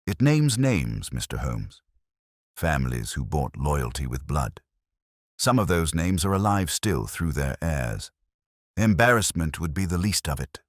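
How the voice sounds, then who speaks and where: A man voicing a sickly, weakened aristocrat, faint, croaky and halting, in a detective audiobook. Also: a bass voice, sing-song, no echo, clean studio sound, heavily acted. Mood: weary, fearful. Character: sickly, imperious viscount, weakened and drugged.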